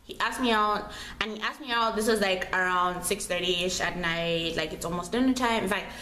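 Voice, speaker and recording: A young woman vlogger tells a story in a casual, chatty way. She is slangy and dramatic and repeats herself for emphasis. Her medium-pitched voice echoes in the room over faint background noise. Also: moderate pace, sharp tone. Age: young adult. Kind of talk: casual animated storytelling to camera. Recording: roomy echo, clear background noise.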